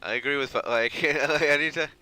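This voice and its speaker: A man's voice: on the high side, normal speed, sharp tone, soft background music. A man giving casual live gameplay commentary as a streamer, slangy and given to swearing and mimicry. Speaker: game streamer.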